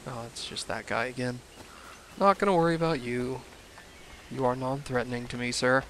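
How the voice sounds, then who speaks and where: A young man commenting casually on a video game he is playing, his voice a little hoarse from a cold, rambling with self-deprecating asides. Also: mid-range voice, normal speed, fairly bright tone, slight background noise. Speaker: let's play gamer.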